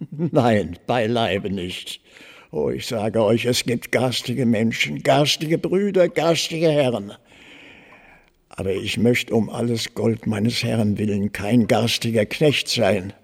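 A man's voice: medium pitch, slow pace, gravelly, breathy edge, exaggerated voice. A man acting a devoted old servant in a German radio play, humble and effusive, pleading and exclaiming, in a reverberant space. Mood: indignant. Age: older. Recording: long reverberant hall.

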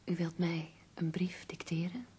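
A woman acting in a Dutch radio play as an ailing poet's devoted, earnest companion, soft, breathy and restrained. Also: lowish voice, level tone, a little slow. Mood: reserved, polite.

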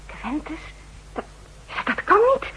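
An actress playing an anxious, devoted woman conspirator in a 1960s Dutch radio drama, with a breathy, husky voice. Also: takes it slowly, pushed and bright, low-quality recording. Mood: shocked, worried.

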